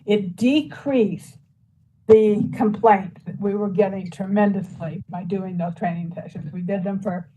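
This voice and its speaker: A woman's voice: mid-range voice, fairly even intonation, measured pace, mellow, a little hiss or hum, rough recording. A woman county commissioner speaking at a public meeting, rambling and filling her talk with "you know" and "like". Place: meeting room.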